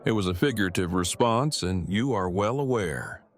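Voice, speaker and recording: A man playing an awkward, earnest, bookish survivor in an audio drama, speaking in formal, precise, wordy sentences with a deadpan delivery. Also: deep voice, normal speed. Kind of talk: fan audio drama acting.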